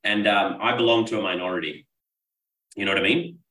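A man's voice: on the low side, a little fast, bright, forceful tone. A man from Pakistan, a business coach, telling his life story as a talkative, earnest podcast guest. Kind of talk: casual interview storytelling. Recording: roomy echo, distant mic.

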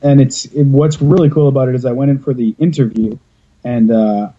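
A man's voice: mid-range voice, fairly even intonation, a little fast, slightly rough, muffled recording. A man from Canada chatting casually and jokingly, rambling with fillers and restarts. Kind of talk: casual chat.

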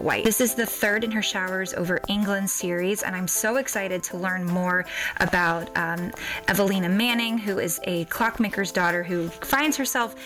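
A woman's voice: mid-range voice, rough recording. A woman podcast host chatting in an enthusiastic, gushing interview style. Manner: gushing, excitable.

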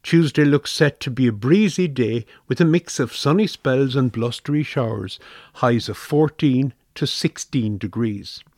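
A man reads the weather forecast for community radio in a mid-range voice. His delivery is measured and even, with the calm pacing of a script. The recording is close and dry. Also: mid-range voice, dry recording.

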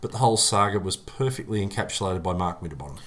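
A man's voice: sits low, lively intonation, fast talker, a bit bright. An Australian man co-hosting a casual podcast chat in a pedantic, dryly sarcastic manner. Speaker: co-host.

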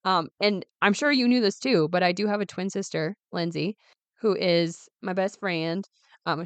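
A woman in her late twenties talks casually into a close mic, her voice a little raspy and worn. She chats upbeat with plenty of ums and asides. The sound is dry, with no room around her. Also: fairly low pitch, no room sound. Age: 20s. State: raspy, recovering voice. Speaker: podcast host. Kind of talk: casual solo podcast chat.

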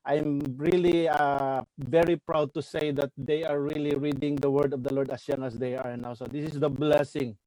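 Earnest man, dark dry voice. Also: fairly high pitch, little pitch movement, dry recording, rough recording. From Philippines. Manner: earnest, halting, repeats words. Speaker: church minister abroad, guest. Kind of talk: casual video-call chat.

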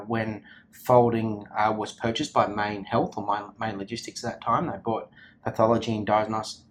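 A low-voiced Australian man speaks in a casual office interview, tumbling over his words with excitement and constant restarts. A faint hum of ambience sits behind him. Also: rough recording. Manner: energetic, rambling with many restarts and fillers. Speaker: company founder, guest.

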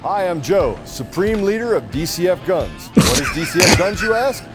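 A man playing a boastful, goofy gun store supreme leader in a comedic commercial, showy and deliberate. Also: sits high, big pitch swings. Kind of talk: comedic local commercial read. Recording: loud music bed behind voice.

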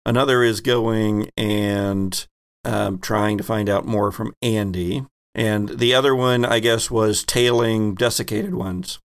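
A man's voice: fairly low pitch, fairly even intonation, slow pace, smooth. A man hosting a tabletop game as game master, informally laying out the options for the players.

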